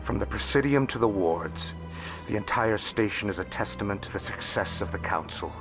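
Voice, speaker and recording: Low-voiced, shrewd alien information broker. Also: male voice, lively intonation. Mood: admiring, polished. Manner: measured, formal, worldly. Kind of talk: video game dialogue acting. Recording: music behind the voice.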